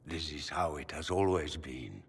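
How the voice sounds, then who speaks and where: An older nobleman father from a video game, stern yet full of regret. His very low, husky voice is formal and weary, echoing in a long hall reverb. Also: heavily acted. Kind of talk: dramatic video game voice acting.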